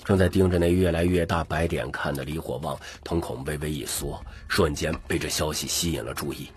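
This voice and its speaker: A man's voice: extremely low pitch, a little fast. A man narrating an audiobook in a scripted, dramatic storytelling style. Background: music.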